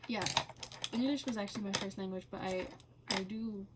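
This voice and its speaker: A woman chatting casually and rambling while coloring, halting often with fillers and laughing between thoughts. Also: mid-range voice, noisy background. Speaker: channel host.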